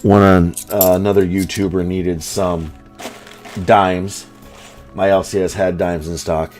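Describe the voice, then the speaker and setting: A deep-voiced American man with a plain General American accent chats to his viewers at home. He rambles along loosely and fills his talk with ums and likes. Soft music plays faintly behind him. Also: little pitch movement, takes it slowly. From United States. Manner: rambling, filler-heavy, with many ums and likes. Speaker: coin hunting streamer. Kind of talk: casual chat to a live audience.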